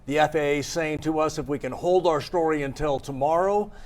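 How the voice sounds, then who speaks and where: A man's voice: on the high side, steady tone, a little fast. An American man working as an investigative reporter, delivering scripted broadcast news in emphatic, clipped phrases. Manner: emphatic, clipped sentence fragments.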